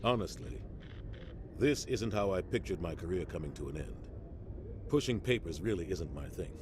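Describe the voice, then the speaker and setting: An actor playing a bitter veteran soldier captain, speaking in a measured, grim tone. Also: male voice, low-pitched, lively intonation. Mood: tense. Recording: game cutscene with ambient noise.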